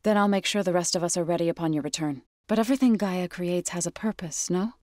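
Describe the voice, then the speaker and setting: Low-pitched, earnest warrior woman, played by an actress. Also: fast, darkish tone, dry recording, clean studio sound, natural voice. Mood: earnest, steady. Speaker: video game character. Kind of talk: scripted video game dialogue.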